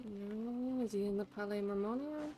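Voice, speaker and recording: A woman's voice: medium pitch, normal speed, warm tone, faint music behind. A woman live streaming a video game with casual, blunt, sweary, informal commentary.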